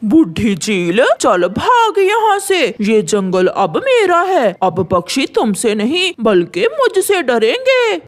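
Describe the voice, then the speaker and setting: A big, pompous male crow from a children's cartoon, voiced by a woman. The voice sits high and swings widely in pitch. He throws his weight around with boasts and threats. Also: huge pitch swings, takes it slowly, hardly any pauses, exaggerated voice. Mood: bullying. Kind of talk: Hindi children's cartoon voice acting.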